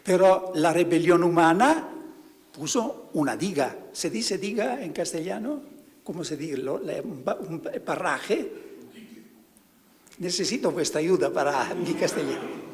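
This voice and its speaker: A man, a priest, giving a spiritual talk to fellow priests, halting and often stopping to search for words. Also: on the high side, lively intonation, big-room sound.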